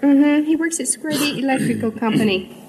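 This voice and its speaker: A woman's voice: high voice, fairly quick. A middle-aged woman, a giggly, artless contestant chatting on a 1950s radio quiz. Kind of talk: casual 1950s radio interview. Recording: noisy 1950s radio with studio audience.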